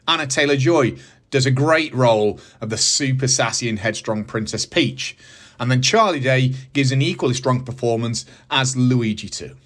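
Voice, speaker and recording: British man reviewing in a mid-pitched voice. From United Kingdom. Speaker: host of a movie review channel. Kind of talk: scripted review commentary with casual asides.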